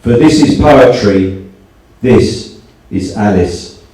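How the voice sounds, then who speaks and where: A man's voice: medium pitch, lively intonation, slow, mellow. A man hosting a live event, giving a prepared speech and reading poetry to an audience. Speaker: event host. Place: indoor live launch event. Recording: very echoey hall, far from mic.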